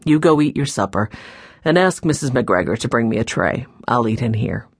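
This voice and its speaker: A kindly old grandfather from a children's storybook, voiced by a woman, weary and troubled. The voice is pitched low and husky, with a subdued sadness. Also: expressive, moderate pace, no room sound, studio quality, full character voice. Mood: firm, weary. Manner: tired, sad, subdued. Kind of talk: children's audiobook character voice.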